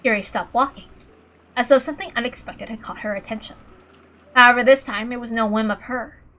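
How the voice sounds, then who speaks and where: A woman narrates a visual novel in a dramatic, scripted storytelling style. Her voice sits somewhat high, and music plays behind it. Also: brisk, pushed and bright. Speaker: narrator, reader.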